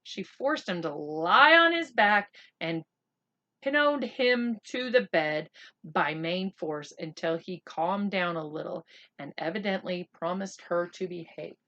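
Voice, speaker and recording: A woman reading a children's book aloud as an expressive narrator. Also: fairly low pitch, measured pace, dull audio.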